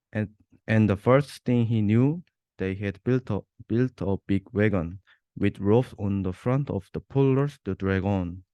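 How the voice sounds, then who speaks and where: Slow, mellow young man reading a story. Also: on the low side, clean tone, no echo. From South Korea. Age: young adult. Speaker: university student reader. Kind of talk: reading a rhyming children's storybook aloud.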